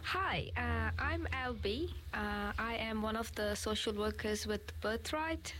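A woman's voice: a little high, measured pace, a bit bright, a little hiss or hum. A woman social worker introducing herself hesitantly, with many uh and um fillers.

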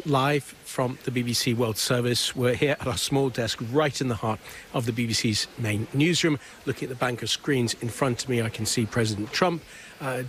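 A man hosts live radio news from a busy newsroom desk, speaking in an easy, conversational way with a few "uh"s between phrases. His voice sits at a middle pitch, with a faint newsroom hum behind him. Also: fairly bright tone. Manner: conversational, with frequent fillers. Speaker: news presenter. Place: broadcast newsroom studio. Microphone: studio microphone.

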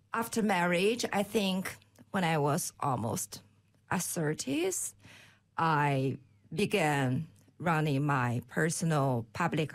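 Chinese-native woman, slow and halting, lowish voice, lots of uhs. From Inner Mongolia, China. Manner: hesitant, many fillers and restarts. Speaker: guest at an open day. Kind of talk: casual conversation.

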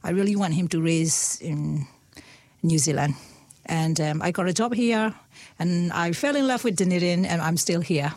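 Burmese-accented woman, halting and gentle. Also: lowish voice, dry recording. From Myanmar. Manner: halting, repeats words, gentle laughs. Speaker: guest. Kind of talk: casual conversation.

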